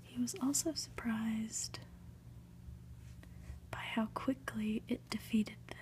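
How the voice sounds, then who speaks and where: A woman narrating a storybook in a breathy ASMR whisper, reading gently and deliberately. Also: on the high side, fairly even intonation. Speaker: ASMR reader. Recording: faint background noise.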